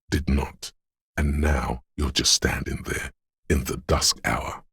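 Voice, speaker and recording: A man voicing a brooding, omniscient inner voice of a city in a video game, narrating gravely and poetically in a measured, husky, gravelly voice. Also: very deep voice, no room sound, studio quality, full character voice. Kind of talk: slow literary second-person game narration.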